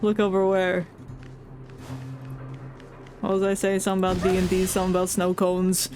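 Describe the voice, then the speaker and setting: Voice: mid-range voice, monotone, normal speed, a little mellow. A video game streamer giving casual live commentary, rambling off into goofy asides. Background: game music.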